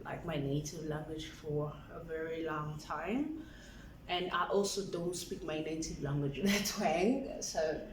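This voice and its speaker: A woman's voice: sits low, slight background noise. A woman, talking through her self-care routine in a casual, rambling vlog, filling her speech with "like". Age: 20s. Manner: chatty, rambling, fills with "like", laughs often. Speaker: vlogger. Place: indoor room at home. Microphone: camera on a stand. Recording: roomy, slightly distant mic with faint ambience.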